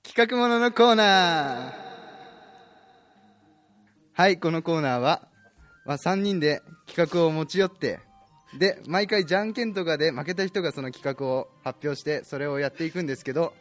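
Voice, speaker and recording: A man's voice: fairly high pitch, moderate pace, fairly bright tone. A young man co-hosting an amateur show, chatting casually with friends. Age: young adult. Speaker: co-host of an amateur talk show. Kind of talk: casual chat among friends. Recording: music under the voice.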